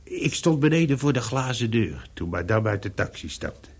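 An actor playing a discreet, worldly-wise Paris hotel servant in a radio play, with a gravelly, husky voice and a deferential, dry, hesitant manner. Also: male voice, lowish voice, lively intonation, normal speed.

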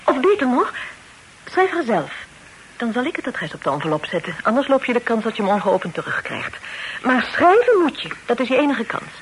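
An actress playing a practical, brisk and outspoken young female confidante, speaking in an expressive, chiding way in a period radio play. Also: a little high. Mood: brisk, urging. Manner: expressive, chiding, matter-of-fact. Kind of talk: radio drama acting, period dialogue. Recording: noisy old radio play recording.

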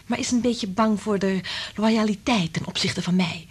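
An actress playing an anguished, bitter married woman in a radio drama, intense and confiding, with a breathy, husky voice that drops to whispers. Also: mid-range voice, moderate pace, close to an everyday voice.

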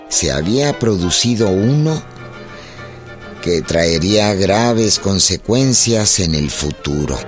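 Slow, solemn male narrator over music. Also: deep voice. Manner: solemn, literary storytelling. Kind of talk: scripted narration of historical radio drama. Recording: music behind the voice.

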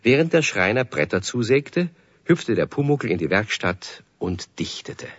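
Storybook narrator, low husky voice, expressive n measured, old kids radio play, dry muffled sound. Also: male voice, no room sound, exaggerated voice. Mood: wry storytelling. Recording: dry, dull vintage radio recording.

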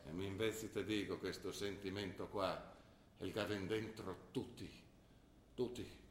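A man's voice: low voice, steady tone, unhurried, a little hiss or hum. An older man playing an ill, worried father who lectures and pleads with his daughter, in an earnest manner. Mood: lecturing. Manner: earnest, lecturing, rising to anguished pleas. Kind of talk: stage drama acting. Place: theatre stage. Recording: roomy stage recording.

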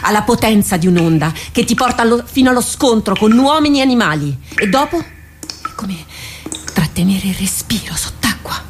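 A woman playing a tormented female warrior captain in a radio drama, speaking gravely and haltingly, with introspective weight. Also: a little high, lively intonation, a little hiss or hum, lightly acted. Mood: haunted, remembering.

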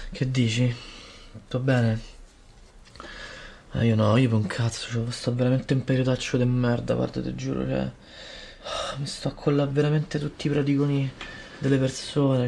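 A man's voice: mid-range voice, vocal fry, darkish tone, a little hiss or hum, natural voice. An actor playing an anxious, stressed young man with a Roman accent, whiny and stammering as he begs a friend for advice. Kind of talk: scripted comedy sketch.

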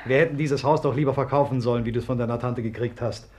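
A man's voice: medium pitch, steady tone, fast talker, a little mellow, natural voice. A man playing a grumbling, teasing young husband in a 1950s radio play. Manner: bantering, grumbly. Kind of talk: 1950s German-language radio play acting. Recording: noisy old radio play recording.

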